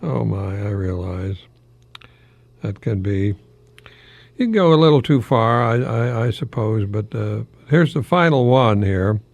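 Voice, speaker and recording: An older American man hosting a folksy solo radio talk in a halting, rambling, easily amused manner. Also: on the low side, expressive, gravelly, a little mellow, dry recording. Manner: chuckles often at his own remarks, halting and rambling. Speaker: radio host, longtime English professor.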